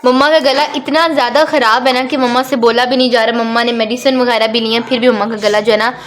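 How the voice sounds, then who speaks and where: High bright lady chatting away on her home vlog, sweet with the cats. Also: steady tone, fast. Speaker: vlogger. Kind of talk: casual vlog narration.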